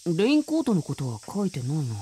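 A woman voicing an earnest, skeptical middle-school boy in an audio drama, acting his part and narrating his story with a husky voice. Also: low voice, wildly swooping pitch, quick pace, mellow, slight background noise. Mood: reflective narrating.

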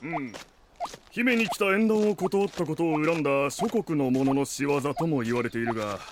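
A man's voice: fairly high pitch, moderate pace, long reverb tail, background noise, close to an everyday voice. A man playing an earnest middle-aged samurai retainer who speaks solemnly in archaic period-drama style. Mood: grave. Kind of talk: comedic period radio drama acting.

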